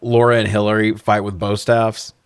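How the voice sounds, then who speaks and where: Sarcastic low-voiced man recapping a film. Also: little pitch movement, moderate pace, clear, resonant tone, no room sound, studio quality. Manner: sarcastic, profane, laughs through remarks. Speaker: podcast co-host recapping films. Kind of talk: casual comedic movie recap chat.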